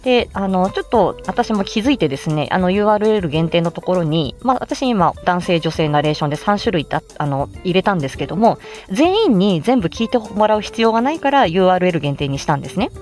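A woman's voice: on the low side, very animated, fast, few pauses, a little mellow, faint music behind. A woman hosting a how-to audio show, explaining things step by step in casual, polite, unscripted talk with an encouraging manner. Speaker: host of a how-to audio show for amateur voice actors.

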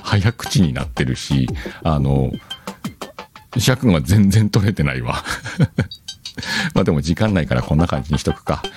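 Very deep-voiced man chatting over music. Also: lively intonation, measured pace, long pauses, a little mellow. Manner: rambling, self-deprecating, laughs at himself. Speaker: host of an audio show. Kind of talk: casual solo chat. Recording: music behind the voice. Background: background music.

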